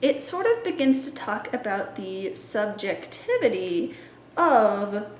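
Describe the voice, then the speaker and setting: A woman's voice: medium pitch, big pitch swings, takes it slowly, slight background noise. A young woman in her twenties, a library science student, chatting in an upbeat, teacherly way as she plans a children's story time. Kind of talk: casual teaching vlog. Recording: echoey room.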